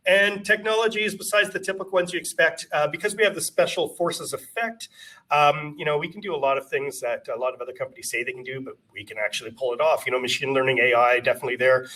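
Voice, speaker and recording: A man's voice: on the high side, fast, pushed and bright, recorded from a distance, poor audio. A man giving a casual conference presentation from his company office, chatty and self-deprecating, with many fillers and restarts.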